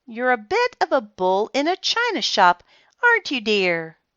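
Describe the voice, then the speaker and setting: A woman's voice: fairly high pitch, huge pitch swings, measured pace, pushed and bright, dry recording, lo-fi sound, voice pushed up, heavily acted. A woman voicing an older grandmother who is prim and proper and clipped in her politeness. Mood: tight-lipped.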